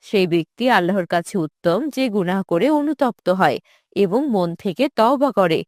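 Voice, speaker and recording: Woman playing a pious mother, lower and soothing. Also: expressive, normal speed, darkish tone, no echo, clean studio sound, natural voice. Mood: gentle, reassuring. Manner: soothing, instructive. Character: gentle, pious mother giving patient religious reassurance.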